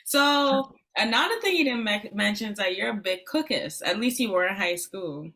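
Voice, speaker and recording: A woman's voice: mid-range voice, animated, moderate pace, fairly bright tone. A young woman from Guyana, hosting an interview in a friendly, chatty way. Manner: friendly, chatty, laughs easily. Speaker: interview host. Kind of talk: casual interview chat.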